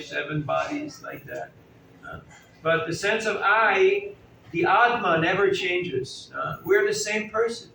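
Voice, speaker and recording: A man's voice: high voice, gravelly, a bit bright. A man giving a chatty, conversational sermon to a live audience, often asking them questions and joking. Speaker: spiritual teacher giving a talk. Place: indoor hall at a festival gathering. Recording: echoey hall, distant mic, faint room noise.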